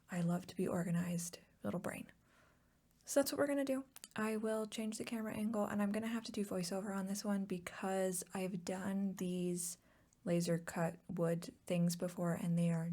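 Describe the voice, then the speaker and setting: A woman speaking softly and unhurriedly in a whispery, casual ASMR chat style, as the host of a hobby channel. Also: medium pitch. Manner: soft-spoken, whispery, unhurried. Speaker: host of a crafting and board game channel.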